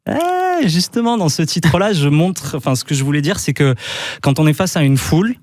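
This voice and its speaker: A man's voice: a little high, moderate pace. A man guest on a radio show, talking casually about his music with lots of fillers and easy laughs. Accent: French. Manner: relaxed, chatty, many fillers and laughs. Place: radio studio.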